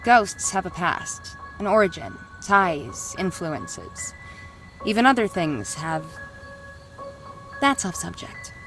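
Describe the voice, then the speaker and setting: A woman playing a centuries-old ghost in a visual novel, guarded and formal, with a dry, measured delivery. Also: lowish voice, sing-song, over music, full character voice, poor audio. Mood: grave. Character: centuries-old female ghost, guarded, terse, coolly knowing.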